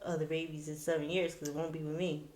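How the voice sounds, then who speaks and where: A woman on a podcast banters in a playful, teasing way, her voice on the lower side for a woman. The room is echoey around her. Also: little pitch movement, fast, darkish tone. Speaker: podcast co-host. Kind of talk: casual chat.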